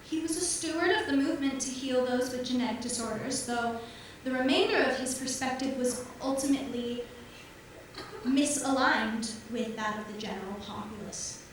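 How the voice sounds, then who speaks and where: A woman's voice: sits high, moderate pace, slight background noise, natural voice. Woman acting upbeat colony leader, distant and echoey. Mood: subdued, evasive. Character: upbeat, evasive colony leader daughter, youthful-seeming at 210. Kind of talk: staged musical theater acting. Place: theater stage, piano music behind. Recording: echoey theater from far off, music behind.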